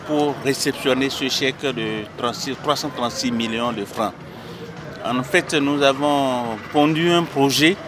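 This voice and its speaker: A man with a Beninese French accent gives formal, measured interview answers as an association president, often pausing mid-phrase. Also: medium pitch. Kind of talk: interview answers, explaining a project. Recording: noisy outdoor urban recording, traffic behind.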